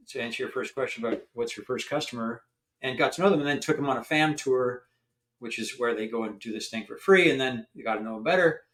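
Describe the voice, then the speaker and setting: An older man from Canada, a founder, is a guest on a podcast. His voice sits in the middle register, and he tells his stories in a chatty, animated way. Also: medium pitch, low-quality recording. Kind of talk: storytelling in an interview.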